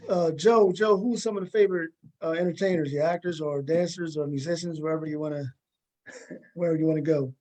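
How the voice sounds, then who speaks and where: A man's voice: a little high, steady tone, a little fast, mellow, poor audio. A man hosting a talk show in a casual, conversational way, often repeating words and phrases as he goes. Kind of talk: casual interviewing.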